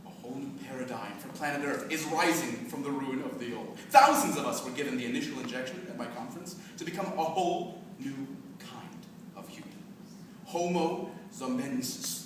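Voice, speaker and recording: A man's voice: mid-range voice, animated, brisk, natural voice. Smug seductive zombie played by a man, swooping voice. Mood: grandiose, gloating. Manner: theatrical, swinging from silky to gloating. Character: smug seductive male zombie cult convert, grandiose and menacing. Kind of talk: staged reading of a dark comic play. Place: live stage reading in a hall. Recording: echoey hall from far off, noisy.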